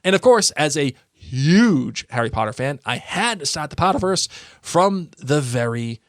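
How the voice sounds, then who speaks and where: A man's voice: fairly high pitch, sing-song, unhurried, dry recording, clean studio sound. A man with an American accent hosting a podcast in a playful, bantering way, chatting casually and reading listener emails aloud. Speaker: podcast host.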